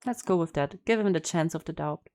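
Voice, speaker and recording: A woman's voice: deep voice, fairly quick, darkish tone, slight background noise. A woman streamer giving a casual game reaction, chatting and reading game text aloud. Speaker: gaming streamer. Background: faint game music.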